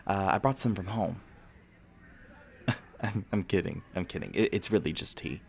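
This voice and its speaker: A man's voice: low voice, normal speed, gravelly. A man playing a friendly, teasing vampire university student and tour guide in a casual, conversational ASMR roleplay. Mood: warm, teasing. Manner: casual, conversational, gently joking. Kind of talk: ASMR roleplay acting, casual chat to listener.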